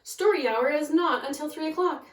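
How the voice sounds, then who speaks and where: Strict woman librarian as a reader puts her on, clipped, high-pitched, roomy storybook recording. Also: moderate pace, lightly acted. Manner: stern, clipped. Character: stern, rule-minded woman head librarian. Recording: echoey, far from the mic.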